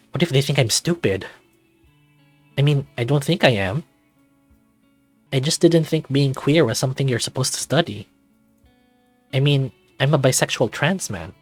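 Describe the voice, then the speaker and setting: A man's voice: mid-range voice, breathy edge, no echo. Man voicing an anxious, confessional thirty-something man. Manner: introspective, confessional, dramatic reading. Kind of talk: first-person visual novel narration.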